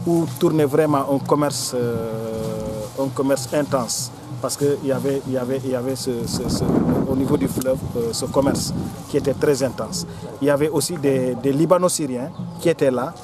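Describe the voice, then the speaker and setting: Senegalese guy being interviewed, medium pitch, stumbles and repeats words a lot, music in the background. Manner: halting, repeats words while searching for phrasing. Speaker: local official or forum organiser. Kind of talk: interview answer recounting local history.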